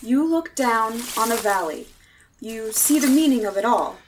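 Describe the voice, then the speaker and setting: A woman's voice: on the high side, expressive, normal speed, a little hiss or hum, natural voice, poor audio. A woman acting a doomed, fatalistic castaway in time, ominous and insistent, in a 1930s horror radio play style. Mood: grim, resigned. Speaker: actress in a radio drama.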